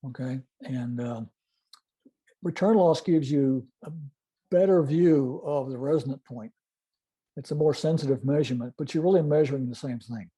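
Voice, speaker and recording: An older man giving an informal technical presentation and demonstration, explaining methodically in a halting way. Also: medium pitch, mellow, slightly rough. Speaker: club presenter, retired-style veteran telephony engineer.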